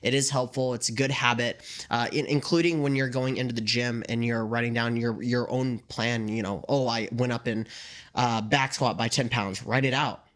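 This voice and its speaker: A man's voice: medium pitch, fairly even intonation, normal speed, fairly bright tone. Upbeat man giving fitness advice. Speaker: fitness trainer. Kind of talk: casual podcast chat. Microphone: USB desk microphone.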